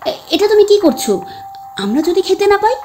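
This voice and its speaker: An eager, impatient young village boy, as a woman storyteller puts him on, his voice pitched well above her own. He talks in quick, excited bursts and pushes for the short way. It is a plain spoken storybook reading. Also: slight background noise, exaggerated voice. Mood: alarmed.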